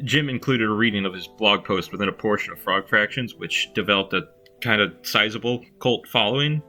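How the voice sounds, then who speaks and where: A man's voice: lowish voice, brisk, sharp tone. A man giving informal, unpolished spoken commentary as a video creator, in a self-deprecating way. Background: faint music.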